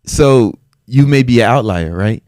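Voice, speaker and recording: A man's voice: medium pitch, animated, measured pace, darkish tone, dry recording, clean studio sound. A man in his forties, a fitness trainer guesting on a podcast, talking in a laid-back, slangy way and laughing often. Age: 40s. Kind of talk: casual interview.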